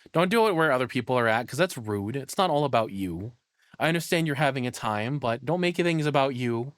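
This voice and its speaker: A man streams his reactions in a casual, off-the-cuff way. He is blunt and opinionated, swears freely and rambles as he thinks aloud. His middle-pitched voice is recorded close and dry, with no room around it. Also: fairly bright tone, no room sound. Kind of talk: casual live reaction commentary.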